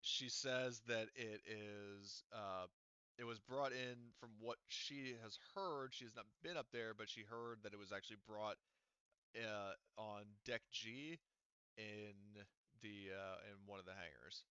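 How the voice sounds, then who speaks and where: A man's voice: fairly low pitch, unhurried, sharp tone, smooth, no room sound. A man running a tabletop roleplaying game, narrating loosely with lots of fillers and casual swearing. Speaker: game master. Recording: muffled lo-fi audio, dry.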